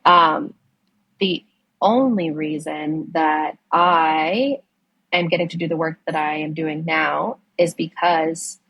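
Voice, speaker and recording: A woman's voice: low voice, steady tone, slow pace, breathy edge, no room sound, highs cut off. A young adult woman from the United States whose first language is Spanish, speaking as a guest in a conversational interview, enthusiastic, with many fillers and restarts. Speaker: ocean conservation director, guest.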